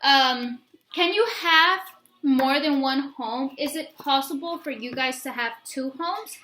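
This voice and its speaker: A woman with a high, bright voice reads a children's story aloud in a roomy, echoey space. She is expressive and instructive, speaking straight to her young listeners as a teacher does. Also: takes it slowly, a little hiss or hum. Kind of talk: picture-book read-aloud with questions to young listeners. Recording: roomy, echoey room sound.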